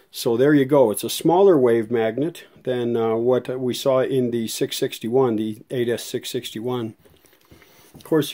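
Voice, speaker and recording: Mellow-voiced man, casual and rambling. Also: medium pitch. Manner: folksy, rambling, thinking aloud. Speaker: host, radio restorer. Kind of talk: casual unscripted hands-on walkthrough.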